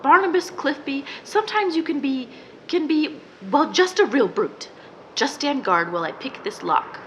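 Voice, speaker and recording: A woman's voice: high-pitched, lively intonation, normal speed. A woman playing a plucky amateur sleuth in a radio drama, earnest and old-fashioned. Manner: earnest, breathless, old-fashioned heroine talk. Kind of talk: 1940s radio drama acting. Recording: noisy background hiss.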